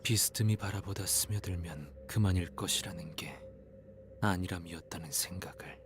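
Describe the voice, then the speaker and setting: A man's voice: low voice, very fast, background noise, lightly acted. An actor playing a wistful, brooding young male scholar with dry humour in a game. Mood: hushed, regretful. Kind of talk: scripted game voice acting. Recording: game music under dialogue.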